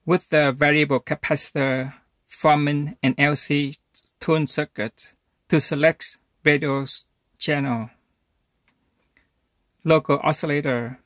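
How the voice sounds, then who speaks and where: A man presents a hands-on electronics demonstration at a very slow pace. His phrases are short and halting, with little melodic movement. The sound is dry, with almost no room in it. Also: fairly high pitch, a bit bright, no echo. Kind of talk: instructional demonstration narration.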